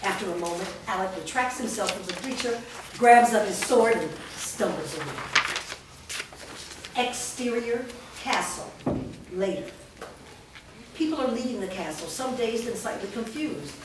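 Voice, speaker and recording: A woman's voice: fairly high pitch, expressive, measured pace, pauses often. A woman reads stage directions aloud as scripted narration. She sits far from the mic in a very echoey room. There is faint noise in the recording. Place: bare studio stage room, indoors. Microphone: far camera.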